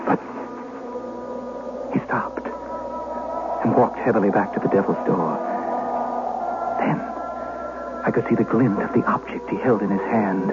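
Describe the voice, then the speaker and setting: An actor playing an earnest, nervous young shepherd who tells his tale dramatically in an old radio drama. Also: on the low side. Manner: dramatic, stammering when challenged. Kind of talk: old-time radio drama acting and narration. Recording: muffled old radio recording.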